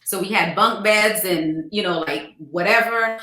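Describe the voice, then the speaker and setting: Bright-voiced Guyanese-born woman, echoey room. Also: medium pitch, unhurried, slight background noise. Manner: warm storytelling with fond anecdotes. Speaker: guest. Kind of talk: casual interview.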